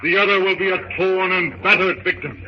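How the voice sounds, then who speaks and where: A man's voice: high-pitched, a little fast. A man narrating a scripted old radio drama in a dramatic, suspenseful, declamatory manner, on a muffled, very noisy recording.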